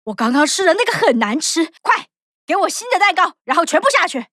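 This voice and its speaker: A spoiled young cartoon princess barks demands in a shrill, very high voice that swings up and down. The tone is bright and piercing. It is recorded close and dry over soft music. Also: female voice, very animated, a little fast, dry recording, heavily acted. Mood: bossy, greedy. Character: greedy spoiled young princess, bossy and loud. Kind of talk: cartoon dubbing.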